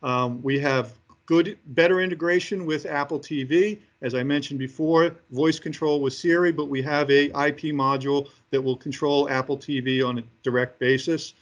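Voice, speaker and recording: Guy exec explaining new products in an interview, voice a bit high, keeps pausing and hesitating between phrases. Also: steady tone, lo-fi sound. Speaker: company senior executive presenting products. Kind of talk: interview answers, product presentation.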